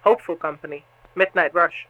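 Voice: medium pitch, fairly quick, natural voice. A performer voicing a watchful surveillance camera in an audio drama, narrating in clipped, terse fragments. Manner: clipped, terse, observational phrases. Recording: lo-fi, very noisy intercom-like sound.

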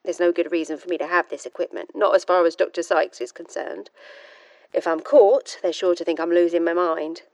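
An actress as a frustrated woman scientist with a fairly low voice, recorded close and dry. She confides in her audio-drama diary with clipped precision and wry, dry wit. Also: fairly even intonation, a little fast, darkish tone, no room sound, natural voice. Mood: wary, secretive.

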